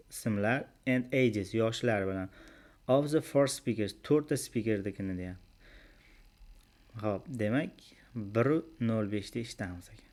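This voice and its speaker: A man's voice: medium pitch, darkish tone. A man teaching English. He repeats each English word and then translates it. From Uzbekistan. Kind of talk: bilingual classroom explanation.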